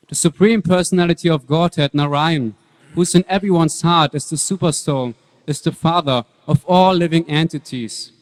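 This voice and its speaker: A reverberant hall carries a man's portrayal of a serene young saint. He speaks a little high, in measured, earnest phrases, as if teaching scripture on stage. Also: natural voice. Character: saintly young boy devotee, calm and earnest. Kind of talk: dramatic reading of scripture.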